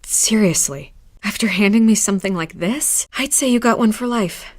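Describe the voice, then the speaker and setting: Actress as a skeptical woman reporter, medium pitch, wry, measured, natural, video game dialogue, dry sound. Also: dry recording, close to an everyday voice. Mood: pensive, skeptical. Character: savvy, skeptical adult female newspaper reporter.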